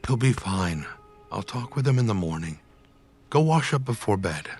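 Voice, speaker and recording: Man playing a low, husky, caring husband. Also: lively intonation, normal speed, darkish tone. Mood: reassuring. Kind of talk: animated motion-comic voice acting.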